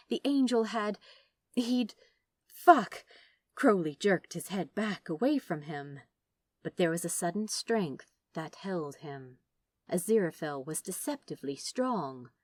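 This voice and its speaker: Animated, low-pitched woman narrator. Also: no room sound. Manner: expressive, theatrical storytelling with dramatic pauses. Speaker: podfic narrator. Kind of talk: dramatic fan fiction audiobook narration.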